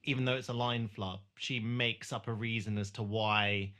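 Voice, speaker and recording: A man chatting casually as a podcast host, recapping a TV drama in a halting way with searching pauses. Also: lowish voice, fairly even intonation, pushed and bright.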